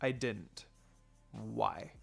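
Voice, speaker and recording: A proud young ninja woman, acted out by a male reader, sarcastic and guarded as she hides her feelings. The delivery is unhurried, in a story reading with music underneath. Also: lowish voice, creaky, breathy edge, pitched below the performer's own voice, heavily acted.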